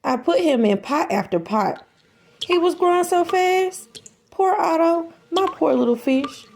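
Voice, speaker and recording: A woman reading a children's book aloud as an expressive storyteller, with deliberate, dramatic emphasis. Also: very high voice, creaky.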